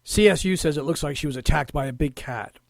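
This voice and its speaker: An actor playing a gruff, exasperated police lieutenant in a noir audio drama, sarcastic and grumbling. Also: male voice, on the high side, fast, no echo, studio quality. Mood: irritated, wry.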